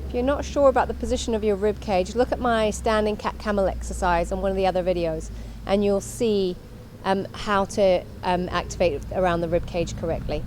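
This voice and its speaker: A woman's voice: mid-range voice, steady tone, a little fast, a little mellow, slight background noise. A woman instructor talking through an exercise demonstration in an instructional way, repeating her cues as she goes.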